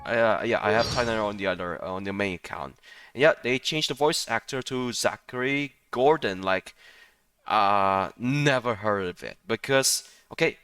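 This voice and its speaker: A man giving casual, rambling playthrough commentary on a game, often halting and chuckling between phrases. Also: on the low side, fairly bright tone, smooth, no room sound, faint music behind. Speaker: gaming streamer.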